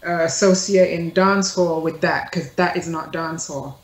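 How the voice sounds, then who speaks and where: A woman's voice: on the low side, fairly even intonation, normal speed. A woman interjecting insistently in a radio discussion, repeating her point, with an echoey room sound.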